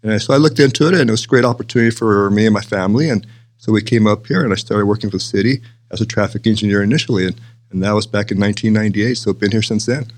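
An older man from Texas speaks as an interview guest in a fairly low voice. He moves along at a quick clip, explaining things conversationally and punctuating points with "right?" and "you know". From El Paso, Texas, United States. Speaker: interview guest, city manager.

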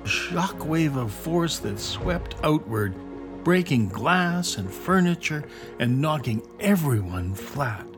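Slow, dramatic male storyteller over music. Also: on the high side, big pitch swings. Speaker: narrator. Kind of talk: scripted children's story narration. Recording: narration over clear background music.

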